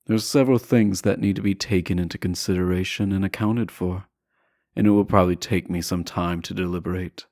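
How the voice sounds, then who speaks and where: A man playing a teasing, self-assured deposed prince in a romantic audio roleplay, speaking softly and deliberately with courtly, formal phrasing. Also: low-pitched, fairly even intonation, dry recording, studio quality. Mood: airy, self-assured. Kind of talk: romantic audio roleplay acting, addressing the listener.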